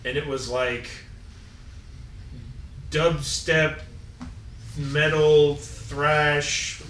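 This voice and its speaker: Hesitant storyteller, bright voice, off-mic in an echoey room. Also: male voice, a little high, steady tone, extremely slow, far from the mic, a little hiss or hum. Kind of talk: casual chat.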